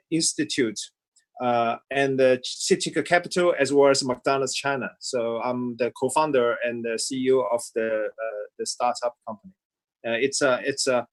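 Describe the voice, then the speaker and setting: A man of middle pitch introducing his career on an online panel over a video call. He speaks haltingly, filling the gaps with frequent filler sounds. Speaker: panelist, tech executive.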